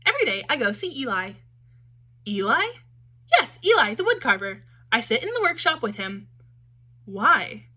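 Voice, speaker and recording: A woman's voice: fairly high pitch, very animated, normal speed, pauses often, bright, forceful tone, exaggerated voice. A woman voicing a carefree, cheerful young female wooden puppet with a breezy, upbeat manner.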